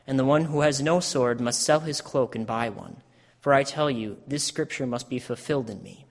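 A man acts out a solemn Jesus, a grave teacher facing his arrest. He delivers scripture as drama in a mid-pitched voice. Long reverb from a big hall trails every phrase. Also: normal speed, vocal fry. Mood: solemn, instructing.

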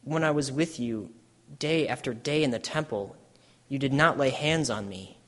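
A man's voice: medium pitch, moderate pace, lightly acted. A man playing a solemn, grave Jesus in a dramatic reading of scripture. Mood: reproachful. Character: solemn Jesus, grave teacher facing arrest. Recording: echoey church hall, long reverb.

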